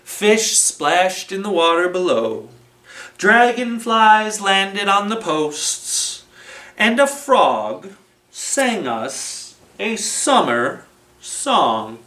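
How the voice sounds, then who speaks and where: A children's library storytime presenter reading a story aloud in a deliberate, expressive way, recorded in a roomy space at some distance from the microphone. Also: medium pitch. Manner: slow, deliberate, expressive read-aloud delivery. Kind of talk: animated storytelling with felt board.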